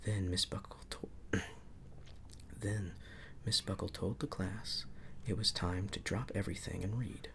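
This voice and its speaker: A man reading a bedtime story in a hushed, soothing ASMR narration. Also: low-pitched, fairly even intonation, takes it slowly, gravelly, long pauses, slight background noise. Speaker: storybook reader.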